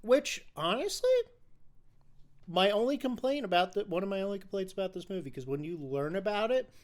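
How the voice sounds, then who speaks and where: A man co-hosting a film podcast in casual discussion, talking haltingly and often repeating his phrases. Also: high-pitched, animated.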